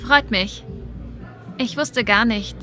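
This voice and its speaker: Game role: young new waitress, highish voice, tentative and friendly. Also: female voice, slow pace, bright, forceful tone, faint music behind. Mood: friendly, hesitant. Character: young newcomer waitress, friendly, slightly hesitant. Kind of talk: video game dialogue acting.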